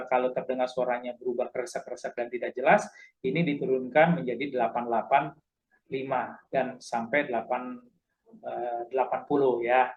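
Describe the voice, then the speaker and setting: A man giving an informal, instructive tutorial, halting at times and repeating key points. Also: medium pitch, highs cut off. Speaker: amateur radio instructor.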